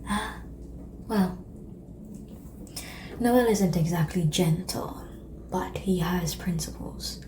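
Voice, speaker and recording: A woman playing a calm, seductive ancient vampire in an ASMR roleplay, speaking softly and breathily, often whispering, with formal, measured phrasing. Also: on the low side. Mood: solemn, explanatory. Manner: slow, measured, formal phrasing with long pauses. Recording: clear background noise and ambience.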